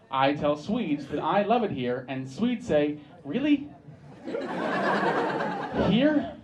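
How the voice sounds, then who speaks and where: A man's voice: high voice, highs cut off. Wry American man doing stand-up. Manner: dry, wry delivery with mock-sincere asides. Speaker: stand-up comedian. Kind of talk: stand-up comedy set. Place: pub comedy stage with a live audience. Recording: live pub recording, crowd laughing. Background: audience laughter.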